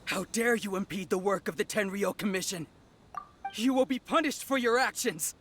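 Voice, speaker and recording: A man's voice: sits high, normal speed, a bit bright, slight background noise. An actor playing a blustering, threatening male commission soldier in video game dialogue. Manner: threatening, pompous.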